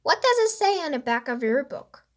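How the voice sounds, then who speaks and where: Lady doing a bossy curious little girl detective, high and super animated, storybook read. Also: normal speed. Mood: eager. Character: bossy, inquisitive young girl sleuth.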